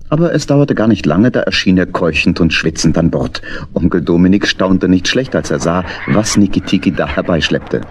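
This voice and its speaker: Guy narrating a kids audio story, deep husky voice, very animated intonation. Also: normal speed, few pauses, a little hiss or hum. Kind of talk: scripted children's story narration.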